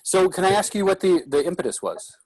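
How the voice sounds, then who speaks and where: A man's voice: high voice, sing-song, a little fast, a little mellow, no echo, poor audio. A man, an actor chatting casually and asking questions after a play reading. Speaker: actor, colleague giving feedback. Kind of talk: casual chat.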